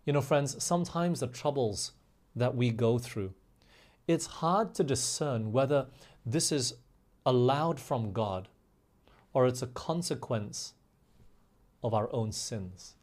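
A man preaching a sermon in an emphatic way, slowing down on key phrases and putting rhetorical questions to his listeners. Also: medium pitch, animated, a little mellow. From grew up overseas in England, Australia and the US.

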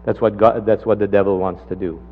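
A man preaching a sermon and telling a personal testimony, climbing sharply in pitch when he drives a point home. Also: fairly even intonation, a little fast. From Canada. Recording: dull lo-fi sermon recording.

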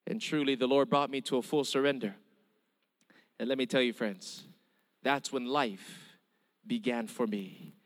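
A man's voice: mid-range voice, steady tone, a little slow, long pauses. A middle-aged man from Hawaii with a Hawaiian accent, preaching an earnest testimony sermon and calling for amens. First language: Hawaiian Pidgin. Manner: earnest storytelling with calls of amen. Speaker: evangelist. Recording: long hall reverb.

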